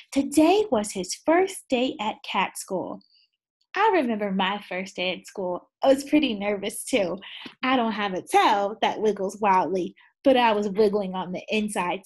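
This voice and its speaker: A woman teacher reading a picture book aloud to second graders. She is animated and keeps asking the children questions. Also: medium pitch, slightly rough. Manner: animated, asks the class many questions. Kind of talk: read-aloud lesson for children.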